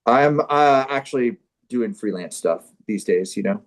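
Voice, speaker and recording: A man performing on a comedy show, bantering casually and reading through a script in a mock-serious, deadpan way. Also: medium pitch, a little slow.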